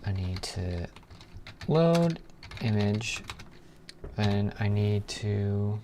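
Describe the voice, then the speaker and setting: Slow, low-voiced man thinking aloud. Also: expressive, dark tone. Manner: muttering to himself, trailing off. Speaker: live programming streamer. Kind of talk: casual think-aloud coding stream. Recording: clear background noise, keyboard typing.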